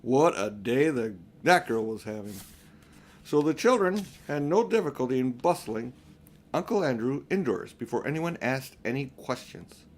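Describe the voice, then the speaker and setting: Older man, storybook narrator, deliberate phrasing, medium pitch, reading for children. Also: expressive, slight background noise. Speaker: audiobook reader for children.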